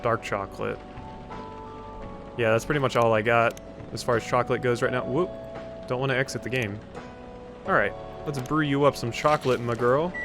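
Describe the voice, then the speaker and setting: Guy playing a game and talking himself through it, mid-pitched voice, music going behind. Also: fairly even intonation, normal speed, pauses often. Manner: thinks out loud. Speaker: let's play host. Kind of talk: casual game commentary, reading visual novel dialogue aloud.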